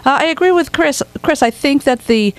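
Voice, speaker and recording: A woman's voice: sits high, normal speed. A woman offering political analysis as a panelist in a discussion.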